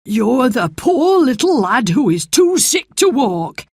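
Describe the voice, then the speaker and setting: Lady doing grumpy old gardener guy, slow and plain. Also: sits high, big pitch swings, a little mellow, slightly rough, dry recording, studio quality, voice pushed up, full character voice. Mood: gruff. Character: gruff old male gardener, cross but kindly.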